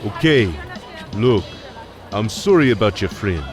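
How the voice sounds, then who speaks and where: A man's voice: on the low side, lively intonation, a little slow, faint music behind, a faint crowd. A man playing a jumped-up, blustering crime middleman who talks in street slang in an audio drama. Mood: defensive.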